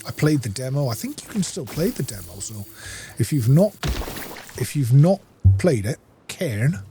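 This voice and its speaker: A man's voice: medium pitch, very animated, normal speed, background noise. A man giving casual let's-play commentary, talking viewers through each step in an informal, instructional way. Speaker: game streamer and playthrough host.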